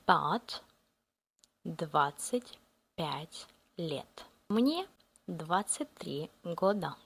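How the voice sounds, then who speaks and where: A woman with a Russian accent teaching a beginner language lesson, repeating each phrase slowly for learners to copy. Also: mid-range voice, gravelly, husky.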